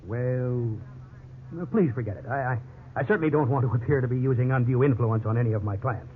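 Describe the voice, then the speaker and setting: An actor playing a pushy, falsely sincere real estate salesman in a 1950s radio drama, with smooth patter and feigned hesitation. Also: medium pitch, brisk, natural voice. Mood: oily, persuasive. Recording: muffled, noisy 1950s radio recording.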